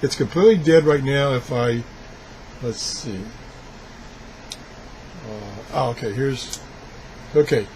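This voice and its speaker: A man giving a casual, unscripted product demonstration as a video blogger, thinking aloud haltingly as he handles things. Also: medium pitch, background noise. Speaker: video blogger reviewing gadgets.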